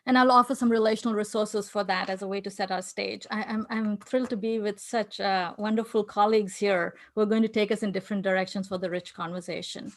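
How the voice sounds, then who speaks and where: A woman from India with an Indian accent, giving an earnest conference talk over a video call, with frequent fillers and restarts. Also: fairly high pitch. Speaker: conference speaker. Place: home in New York City.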